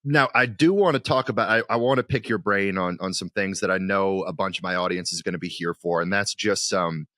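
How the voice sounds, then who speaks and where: A man hosts a podcast in a low-pitched, crisp and bright voice. His interviewing is conversational and wordy, with some halting as he builds a question. The recording is dry, with no room sound. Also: no echo.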